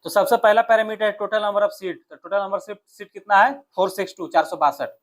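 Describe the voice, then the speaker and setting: High-pitched man with a Bihari accent, coaching. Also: fairly even intonation, fast talker. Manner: conversational, addresses viewers directly, often asks then answers his own questions. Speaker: exam coaching teacher. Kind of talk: informal explanatory exam analysis.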